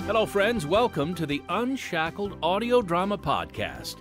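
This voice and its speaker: Male podcast host, scripted intro, animated intonation, light music bed. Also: sits high, normal speed.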